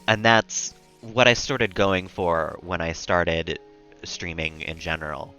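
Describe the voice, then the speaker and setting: Calm, low-voiced man streaming games. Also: slow pace, smooth, faint music behind. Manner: calm, relaxed, rambling. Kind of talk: casual chat while gaming.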